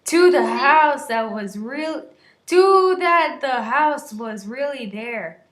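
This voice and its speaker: Female amateur story reader, high voice, unhurried, prone to stumbles and giggly asides, roomy echoing sound. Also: expressive. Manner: giggly, stumbling over words, shouting the quoted lines. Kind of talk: dramatic reading of a story, with stumbles and casual asides. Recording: roomy, echoey voice recording.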